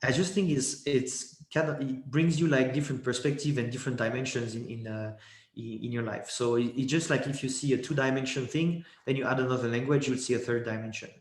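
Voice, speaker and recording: A man's voice: medium pitch, fairly even intonation, brisk. Young guy rambling through an interview, restarts a lot, kinda roomy sound. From Switzerland. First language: French. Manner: rambling, frequent restarts and fillers. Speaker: guest. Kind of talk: casual interview conversation. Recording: echoey room.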